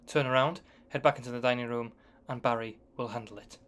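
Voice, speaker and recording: A man's voice: medium pitch, fairly even intonation, a little fast. A man giving explanatory gameplay commentary as the narrator of a video game guide. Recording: faint background noise with clicks.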